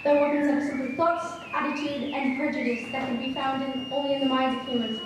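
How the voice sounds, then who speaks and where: A woman's voice: high-pitched, fairly even intonation, a little fast, close to an everyday voice. A woman reading scripted narration for a radio play performed on stage. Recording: very echoey hall, distant mic, noisy.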